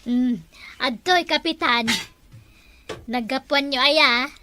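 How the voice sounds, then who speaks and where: A woman's voice: sits high, takes it slowly, sharp tone, heavily acted. A woman with an Ilocano accent playing a deferential village councillor in a comedy drama.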